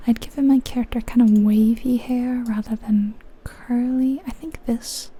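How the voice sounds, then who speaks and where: Woman, breathy whisper, very dark soft tone, ASMR game commentary, unhurried musing. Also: fairly high pitch, fairly even intonation. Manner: unhurried, softly musing aloud. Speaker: ASMR video creator.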